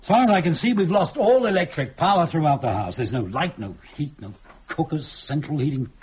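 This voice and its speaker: A man's voice: fairly high pitch, lively intonation, fairly quick, darkish tone, breathy edge. A man with an English accent acting an exasperated, anxious middle-class husband and dinner host in a radio horror play, agitated and grumbling.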